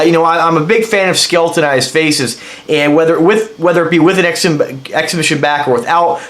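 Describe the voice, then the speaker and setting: A man's voice: on the high side, fairly bright tone, reverberant. A man with a congested voice from a cold, rambling enthusiastically through a casual product review as he handles the item. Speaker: watch reviewer.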